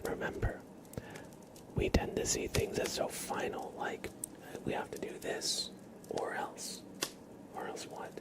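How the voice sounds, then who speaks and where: Whispering man giving gentle ASMR affirmations. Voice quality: breathy whisper. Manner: gentle, reassuring, slow and halting. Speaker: ASMR streamer.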